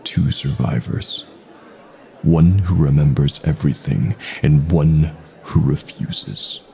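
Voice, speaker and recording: A man narrating a scripted horror story with deliberate pauses, his phrases sometimes trailing into hushed breath. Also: extremely low pitch, vocal fry.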